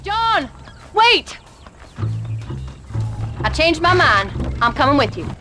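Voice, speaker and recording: An actress playing a sharp-tongued but loving frontier rancher's wife in a game, with a rural Western American accent and a wry, folksy manner. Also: female voice, high voice, sing-song, slow pace, soft background music, heavily acted. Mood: urgent. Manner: wry, folksy, plain-spoken. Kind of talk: dramatic game cutscene acting.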